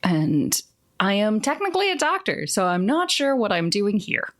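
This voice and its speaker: A woman's voice: mid-range voice, very animated, moderate pace. A woman chatting casually and jokingly as a player on a tabletop gaming podcast. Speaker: player in an actual play podcast.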